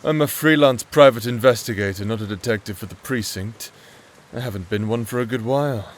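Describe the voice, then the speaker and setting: A man playing a sardonic, world-weary private investigator in a fantasy noir audio drama, with a dry, formal, deadpan delivery. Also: mid-range voice, a little fast, natural voice. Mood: dry, resigned. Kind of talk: noir audio drama acting with scripted monologue. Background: background music.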